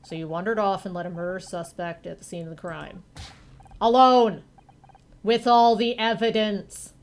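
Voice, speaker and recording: A woman voicing a gruff, short-tempered old police detective with a curt, sardonic manner in a video game. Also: medium pitch, steady tone, moderate pace, close to an everyday voice. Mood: angry, sarcastic.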